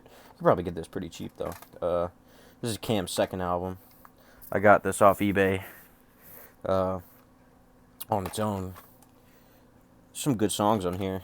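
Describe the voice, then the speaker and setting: Low-voiced man rambling casually. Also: slight background noise. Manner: rambling, profane, offhand. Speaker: music collector showing off cassette tapes. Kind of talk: casual rambling collection showcase.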